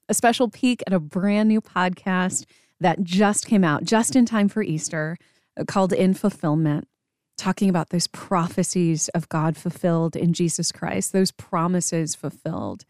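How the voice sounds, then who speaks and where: A woman's voice: lowish voice, darkish tone, no room sound, clean studio sound. A woman hosting a podcast with an informal, chatty introduction style. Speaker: podcast host.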